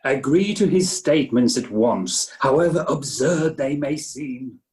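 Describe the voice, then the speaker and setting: A man's voice: medium pitch, lively intonation, measured pace, darkish tone, lightly acted. A man playing a pompous, solemn, pedantic doctor in an amateur comic radio play. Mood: officious. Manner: pompous, emphatic. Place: home, recorded over a video call.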